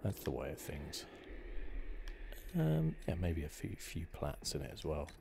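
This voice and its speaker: A man giving casual ASMR commentary on a game playthrough in a hushed, rambling, musing manner. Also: rumbling low, very animated, measured pace, vocal fry, slight background noise. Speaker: gaming video host.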